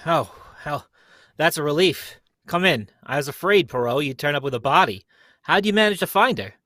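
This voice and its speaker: A blunt, no-nonsense police inspector, acted by a man, with a fairly high, forceful voice. He talks in a plain colloquial way, as in an old radio mystery, in a dry close recording. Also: expressive, moderate pace, no room sound. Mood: relieved, apologetic. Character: gruff, practical male police inspector, plain-spoken. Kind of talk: live reading of old-time radio mystery script.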